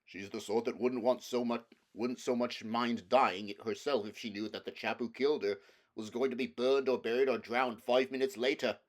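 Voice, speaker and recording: A man's voice: mid-range voice, steady tone, normal speed, lightly acted. A man voicing a gloomy, fatalistic marsh creature in a storybook reading, predicting doom matter-of-factly. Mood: grimly certain. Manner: glum, matter-of-fact doom-saying.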